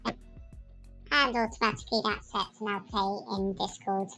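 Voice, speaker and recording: A helium-pitched baby voice explains a tutorial demonstration. It is shifted well up, with music behind it. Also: male voice, steady tone, a little slow, creaky, bright, forceful tone, exaggerated voice. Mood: explanatory. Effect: pitch-raising voice changer.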